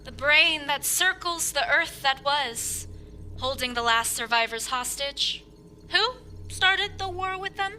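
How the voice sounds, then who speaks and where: A woman's voice: high voice, slow, pushed and bright, soft background music, full character voice. A woman playing a sardonic, lonely rival spaceship captain in a live audio drama, with a dry, taunting, melodramatic manner.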